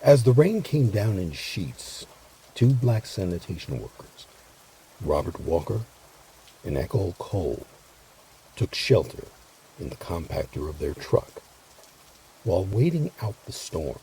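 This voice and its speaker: Male narrator for history series. Very husky, low, animated intonation, measured dramatic pauses. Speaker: host and narrator.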